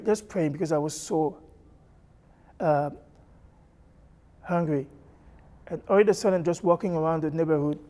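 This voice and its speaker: A middle-aged man from Congo, seated indoors with a lapel mic, giving an earnest personal testimony and often pausing and restarting. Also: a little high, darkish tone. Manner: earnest, halting, with many fillers and restarts. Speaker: church member sharing testimony. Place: church hall with stage, indoors.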